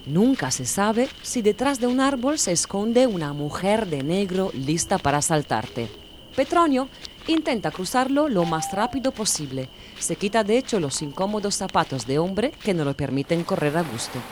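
A woman narrating an audiobook in a calm, measured manner. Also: medium pitch, background noise. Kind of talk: audiobook reading.

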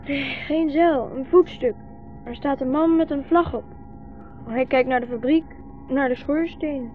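A woman's voice: high-pitched, a little slow, faint music behind. A woman playing a young boy, a hungry, footsore and trusting guide, earnest and observant, in a 1960s radio drama. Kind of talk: 1960s radio play acting. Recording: muffled, hissy 1960s radio recording.

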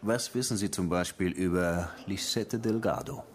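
An actor playing a brooding, world-weary police lieutenant in a radio crime drama, laconic and probing with a melancholy streak. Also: male voice, deep voice, moderate pace, a little hiss or hum, lightly acted. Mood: probing, skeptical. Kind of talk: naturalistic radio play acting.

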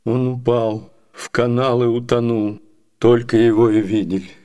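A man's voice: medium pitch, flat delivery, normal speed, dark tone, smooth, lightly acted. An actor playing a crafty, cheeky male servant who bumbles through lies with stammers and asides, in radio theatre comedy. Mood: sly, evasive.

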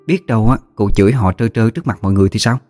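A man's voice: fairly low pitch, rapid-fire, dark tone, close to an everyday voice. A man voicing an admiring, talkative young woman with a Southern Vietnamese accent, respectfully advising her elders in a story. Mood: fond advising. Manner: eager, chatty, respectful. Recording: music behind the voice.

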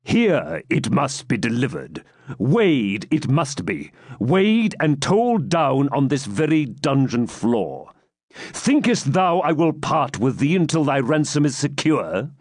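A man's voice: mid-range voice, big pitch swings, dry recording, clean studio sound, voice pushed up. A man doing a stern, cruel baron. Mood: menacing. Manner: stern, slow, menacing. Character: cruel, sarcastic male Norman baron.

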